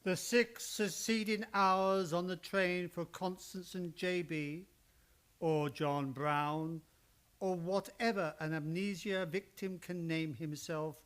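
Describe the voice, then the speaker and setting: A solemn storyteller, played by a man narrating a suspense tale. The delivery is measured and slow, in the scripted manner of old-time radio drama. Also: a little high, a bit bright.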